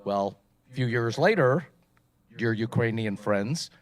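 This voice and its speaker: A man's voice: mid-range voice, animated, takes it slowly. Chatty middle-aged man hosting on stage. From Poland. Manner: chatty, rambling, frequent fillers. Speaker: event host. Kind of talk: casual stage talk. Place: event stage. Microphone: stage microphone.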